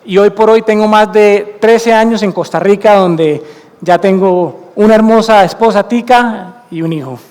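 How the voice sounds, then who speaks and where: Man, Colombian accent, high voice, conference talk, earnest explaining, echoing hall. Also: a little slow, a little mellow, clean tone. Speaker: conference speaker. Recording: long hall reverb from a conference room.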